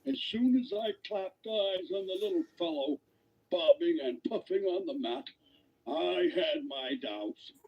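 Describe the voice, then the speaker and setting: A grumbling dwarf, high and rasping, full of scorn. His pitch swoops as he complains in a muffled radio play. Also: expressive, a little slow, full character voice. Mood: scornful. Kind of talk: British radio drama acting.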